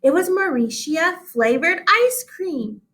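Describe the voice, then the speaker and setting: High-pitched woman reading a children's story. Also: lively intonation, slow pace, fairly bright tone. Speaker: teacher reading aloud to pupils. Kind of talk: expressive children's story read-aloud.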